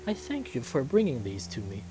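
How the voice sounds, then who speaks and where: A man voicing a stately furry animal king who is fatherly, calm but stern, with formal, measured, commanding speech. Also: mid-range voice, rough recording. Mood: gracious.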